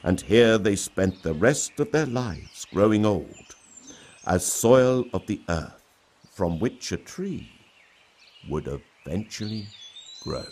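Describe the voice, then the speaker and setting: A man reading a story as a dramatic narrator, with a theatrical, mock-grave delivery and deliberate dramatic pauses. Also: fairly low pitch, expressive, a little mellow.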